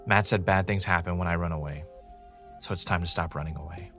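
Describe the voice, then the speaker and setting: Audio drama lead: male actor as brooding, paranoid podcaster, very low register, introspective and confessional, light music bed. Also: fairly even intonation, fairly quick, a bit bright. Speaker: podcast host character and narrator. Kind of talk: audio drama monologue and dialogue.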